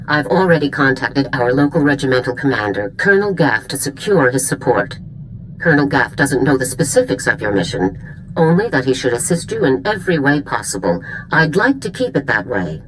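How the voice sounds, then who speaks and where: Deep-voiced commanding female general. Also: big pitch swings, moderate pace, a little hiss or hum, rough recording. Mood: stern, businesslike. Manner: crisp military briefing, commanding. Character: authoritative, businesslike female army general. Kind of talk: video game cutscene acting.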